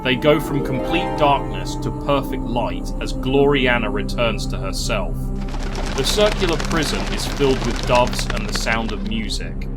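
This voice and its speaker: A man narrates a fantasy tale at an unhurried pace, in a measured literary manner. His voice sits at an average male pitch, and music plays clearly behind him.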